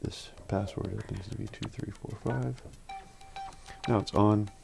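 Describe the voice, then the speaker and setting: A man demonstrating an office product in a casual, halting, think-aloud way, like a hands-on walkthrough. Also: fairly low pitch, fairly even intonation, gravelly, darkish tone. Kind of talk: casual hands-on phone system demo.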